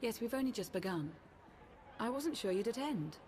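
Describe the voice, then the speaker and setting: A woman playing a composed, loyal adviser in a game, speaking earnestly in measured, formal phrases. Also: a little high, lively intonation, darkish tone, a faint crowd, lightly acted.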